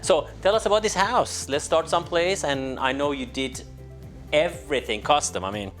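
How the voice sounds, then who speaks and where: A man's voice: on the high side, normal speed, fairly bright tone, faint music behind. A man hosting a design show, presenting and interviewing in an effusive, complimentary way. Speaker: TV show host.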